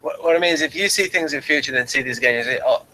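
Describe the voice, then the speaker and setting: A man hosting a casual review discussion, talking in a halting way with repeated words. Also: medium pitch, sharp tone, slight background noise, low-quality recording.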